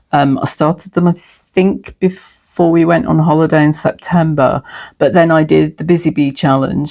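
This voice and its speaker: A woman's voice: low-pitched, darkish tone. A middle-aged woman in her home sewing room, chatting casually through a sewing tip, a little throaty from a cold, with plenty of ums. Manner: chatty, self-deprecating, frequent ums. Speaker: sewing vlogger. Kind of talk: casual sewing tutorial and chat.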